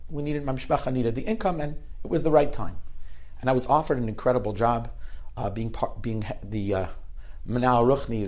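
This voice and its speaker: Animated man telling inspirational stories. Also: medium pitch. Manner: animated storyteller, acts out quoted rabbis, restarts often. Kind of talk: spoken inspirational storytelling.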